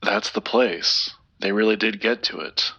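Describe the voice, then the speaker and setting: Obsessive man, forceful sharp voice, slow halting pace, urgent, repetitive, audio-drama acting. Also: on the low side, steady tone, vocal fry, husky, lo-fi sound, exaggerated voice. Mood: stunned disbelief. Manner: urgent, halting, repeats himself. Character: obsessive, agitated man convinced of the supernatural.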